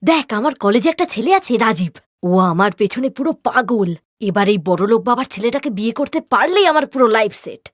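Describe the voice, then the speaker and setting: A woman's voice: fairly high pitch, expressive, fast talker, dry recording, studio quality, voice pushed down. A woman voicing a vain young elder sister in a cartoon, bossy and dismissive, sprinkling in English slang. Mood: scornful, boastful. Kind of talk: cartoon character dialogue.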